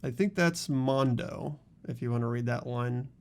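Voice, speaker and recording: A man's voice: medium pitch, normal speed. A man hosting a voiced game playthrough, chatting casually and reading narration over game music.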